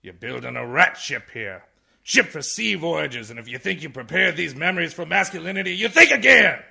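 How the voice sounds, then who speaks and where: An actor playing a blind, tired old man, a blustering, indignant orator with a raspy voice in a radio comedy. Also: fairly high pitch, lively intonation, normal speed, exaggerated voice. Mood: blustering outrage. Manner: theatrical, ranting, swings from muttering to shouting. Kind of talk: radio comedy play parodying a film speech.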